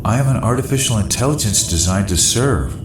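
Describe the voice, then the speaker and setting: An actor playing a formal, literal-minded, self-important artificial intelligence aboard a spaceship in a comedy audio drama. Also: male voice, fairly low pitch, expressive, fairly quick, background noise, close to an everyday voice. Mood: stiff, proud. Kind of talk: full-cast sci-fi comedy audio drama. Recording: roomy echo, music underneath.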